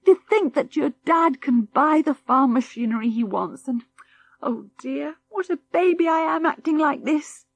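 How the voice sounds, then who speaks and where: A tired, kindly farm wife speaks tenderly to the children, played by a woman reading. Her voice sits a little higher and brims with emotion. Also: lively intonation, takes it slowly, no echo. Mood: tearful. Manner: soft, emotional, motherly.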